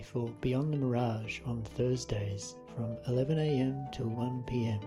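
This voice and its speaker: A male radio presenter reading a smooth, inviting promo for his show. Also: mid-range voice. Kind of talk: scripted station promo.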